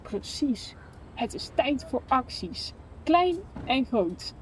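A woman's voice: sits high, sing-song, takes it slowly, full character voice. A young woman playing an idealistic, chatty sister in naturalistic, conversational radio play dialogue. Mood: earnest. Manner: conversational, earnest. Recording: faint station ambience noise.

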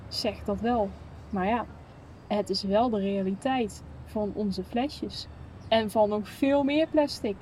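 A woman's voice: medium pitch, takes it slowly, dark tone, close to an everyday voice, rough recording. A young woman playing an idealistic, chatty sister in naturalistic, conversational radio play dialogue. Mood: earnest. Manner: conversational, earnest. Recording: faint station ambience noise.

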